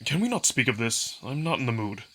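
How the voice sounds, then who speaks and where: A man's voice: medium pitch, sing-song, brisk, a bit bright, slightly rough. A man voicing a sullen, embarrassed male wolf prince in a visual novel, speaking in a curt, weary manner. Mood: weary, defensive.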